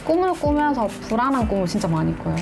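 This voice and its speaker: A woman's voice: on the high side, very animated, fast, creaky, over music. A young woman in her twenties, a job seeker, talking earnestly and hesitantly in an interview. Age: 20s. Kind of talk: documentary interview.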